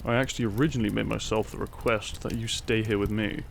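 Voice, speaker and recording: A man playing a gentle, devoted Victorian doctor in an intimate romantic audio drama, earnest and soft-spoken. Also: on the low side, fairly even intonation, quick pace, vocal fry, slight background noise. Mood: tender, loving. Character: tender, devoted Victorian doctor, modest and smitten.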